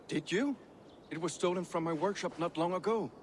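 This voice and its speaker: A man's voice: high-pitched, moderate pace, slight background noise, close to an everyday voice. An actor playing a proud, dutiful Viking craftsman in a video game, earnest, formal and slightly defensive. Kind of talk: dramatic game dialogue acting.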